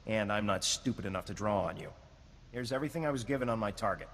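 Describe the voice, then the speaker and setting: A man voicing a smug, cautious government agent in a video game, measured, sardonic and understated. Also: fairly low pitch, fairly bright tone. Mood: guarded. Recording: long hall reverb, background noise.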